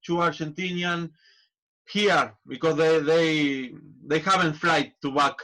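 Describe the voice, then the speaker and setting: A man, a football manager and former player, chatting as a podcast guest in halting non-native English with frequent restarts. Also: a little high, fairly even intonation, sharp tone. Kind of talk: casual interview chat.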